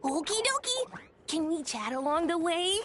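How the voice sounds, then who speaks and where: A woman's voice: extremely high pitch, sing-song, moderate pace, over music. A woman voicing an innocent, chirpy little storybook dragon boy in a video game. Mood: cheerful, eager. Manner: chirpy, polite. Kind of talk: animated video game voice acting.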